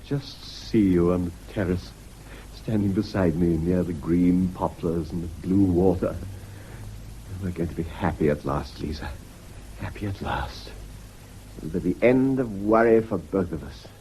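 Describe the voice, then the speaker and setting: An actor with a British accent playing a weak, sentimental schoolmaster in a period radio drama, impassioned, theatrical and prone to stammered repeats. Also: male voice, sits low, a little slow, mellow, slight background noise, rough recording. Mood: wistful, resolved. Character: weak, sentimental schoolmaster, highly strung and wounded.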